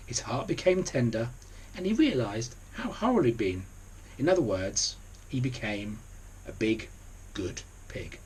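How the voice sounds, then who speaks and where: A man reading a children's storybook aloud, deliberate, with conversational asides to the listener. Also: mid-range voice, a little mellow, a little hiss or hum, rough recording. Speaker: story reader.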